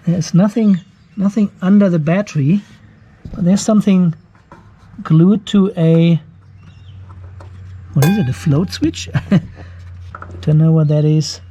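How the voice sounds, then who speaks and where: A man with a notably high-pitched voice talks through a hands-on inspection of a device. He muses aloud, curious and relaxed, explaining each thing he finds as he goes. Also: takes it slowly, pauses often, breathy edge, dull audio. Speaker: channel host reviewing a home battery.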